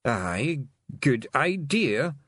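Brief: man playing a tiny brawling Scottish pixie boss, high and loud, husky edge. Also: moderate pace, no echo, studio quality. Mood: sly. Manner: loud, blustering, excitable. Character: fierce little male Scottish pixie chief, blustering.

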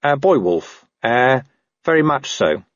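A man's voice: mid-range voice, big pitch swings, takes it slowly, dry recording, highs cut off, voice pushed up. A man voicing a scruffy, streetwise talking dog for an audiobook, with colloquial, hesitant, wheedling speech. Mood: awkward, hedging. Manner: colloquial, hesitant, working-class phrasing. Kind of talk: audiobook character voice.

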